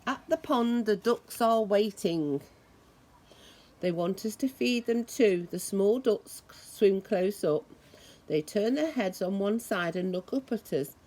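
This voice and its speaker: A woman reading a children's story aloud in a deliberate, expressive way, imitating the sounds it describes. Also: medium pitch. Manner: deliberate, acts out noises expressively.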